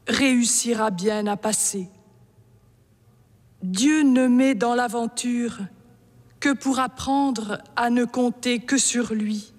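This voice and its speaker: A woman giving a solemn, measured devotional reading of a religious text. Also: a little high. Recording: long echoing hall reverb.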